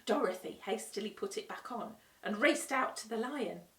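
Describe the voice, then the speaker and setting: A woman reading a children's storybook aloud with expressive narration. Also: mid-range voice, moderate pace, distant mic. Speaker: storytime reader. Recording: roomy echo, away from the mic.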